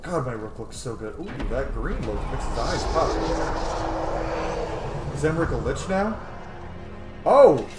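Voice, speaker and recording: A man's voice: medium pitch, animated, unhurried, long pauses. A man in his thirties giving casual, enthusiastic commentary on a video game. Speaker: streamer reacting to a game cutscene. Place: indoor room at home. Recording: roomy echo, game music behind. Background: game music.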